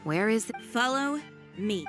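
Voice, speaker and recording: A woman playing a cool, self-assured young woman with a sharp tongue in a video game, dry and composed. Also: a little high, expressive, measured pace, over music.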